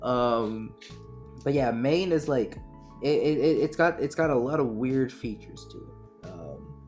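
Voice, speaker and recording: A man in casual chat among tabletop players, talking in a rambling, excitable way. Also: medium pitch, measured pace, soft background music.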